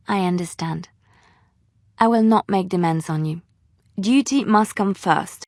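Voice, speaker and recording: An actress playing a devout, romantic young woman adventurer in a video game, heartfelt, coy and emotionally expressive. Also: on the low side, gravelly, no room sound, studio quality. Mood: tender, resigned. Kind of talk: video game dialogue acting.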